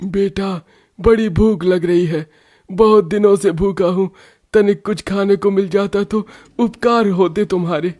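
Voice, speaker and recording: A man's voice: sits high, steady tone, unhurried, darkish tone, pitched above the performer's own voice. A man voicing a humble, hungry old beggar who pleads in a cartoon story. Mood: weary pleading. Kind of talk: Hindi cartoon story acting.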